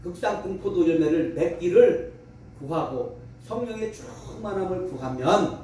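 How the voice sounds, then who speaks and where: A man's voice: sits high, animated, a little slow, warm tone. A man preaching and praying at a revival meeting in an emphatic, exhorting manner. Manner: emphatic, exhorting, with rhetorical questions. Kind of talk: fervent revival sermon and prayer. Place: large echoey room at a revival meeting. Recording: very echoey, distant mic, faint noise.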